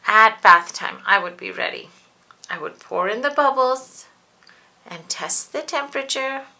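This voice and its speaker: A woman reading a children's picture book aloud, expressive and animated. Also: a little high, unhurried, vocal fry, poor audio. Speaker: storybook reader.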